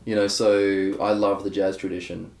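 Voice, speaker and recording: A young man with a fairly low voice chats openly in an interview, wandering through his thoughts with plenty of fillers and false starts. The room around him is echoey and hollow. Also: little pitch movement, normal speed, creaky. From Australia. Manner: rambling, candid, many fillers and restarts. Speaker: guest bass player. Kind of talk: casual interview chat.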